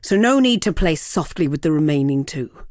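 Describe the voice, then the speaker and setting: A villainous sorceress for a fantasy video game. Her womanly voice sits fairly low and is recorded dry, with no room around it. She speaks deliberately and theatrically, haughty and seductive, savouring each taunt with cruel poise. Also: lively intonation, darkish tone, no echo. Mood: wry, bitter. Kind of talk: dramatic video game character acting.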